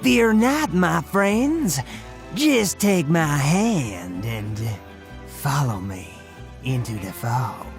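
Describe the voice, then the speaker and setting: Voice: medium pitch, sing-song, very slow, over music, exaggerated voice. A performer, heard as a woman, voicing a feared cartoon death spirit in a theatrical, coaxing, sinister manner. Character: feared ghostly death spirit, smooth, luring, grandly sinister. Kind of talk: animated cartoon voice acting.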